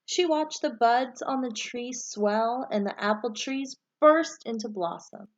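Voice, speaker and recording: A woman teacher reading a storybook aloud to pupils and giving them instructions in a clear way suited to children. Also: fairly high pitch, animated, unhurried, lo-fi sound.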